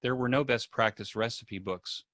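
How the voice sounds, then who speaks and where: A man giving a prepared welcome address as an event host, with formal, deliberate phrasing. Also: mid-range voice, a bit bright, a little hiss or hum.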